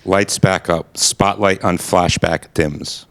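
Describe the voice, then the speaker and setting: A man with a low, deep voice reads out the stage directions for a radio play. He keeps it plain and matter-of-fact, with no dramatic flourish. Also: fairly even intonation, takes it slowly, fairly bright tone. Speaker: narrator reading stage directions.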